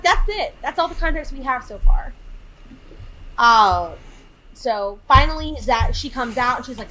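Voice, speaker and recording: Animated thirty-year-old woman recapping a film. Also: sits high, slight background noise, poor audio. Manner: animated, exasperated, acts out quotes. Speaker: podcast co-host. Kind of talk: casual chat recapping a film.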